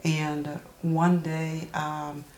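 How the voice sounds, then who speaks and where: A woman in her early sixties from Brazil speaks with a Brazilian accent in a low voice. She tells her personal story as an interview guest, halting and searching for words. The recording is roomy and echoing. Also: completely flat delivery, a little mellow, a little hiss or hum. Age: early 60s.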